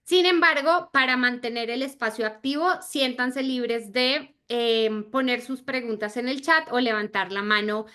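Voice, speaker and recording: A woman's voice: a little high, a little slow, hardly any pauses, bright, forceful tone. A woman in her thirties from Argentina, leading an online sales training in a friendly, chatty way with many fillers. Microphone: video call.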